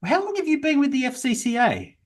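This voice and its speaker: A man's voice: extremely high pitch, fairly quick. A man, from Sydney, interviewing a guest in an encouraging, chatty way. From Sydney, Australia. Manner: warm, encouraging, animated questions. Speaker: interviewer, critics' group president. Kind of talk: casual interview chat.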